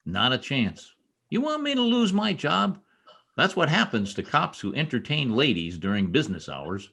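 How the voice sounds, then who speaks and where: A man in an old-time radio play, acting a protective, brusque young state trooper with a gruff, commanding manner. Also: mid-range voice, huge pitch swings, moderate pace, bright, forceful tone, full character voice. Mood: protective, dismissive. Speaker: amateur radio play actor. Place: home, over a video call.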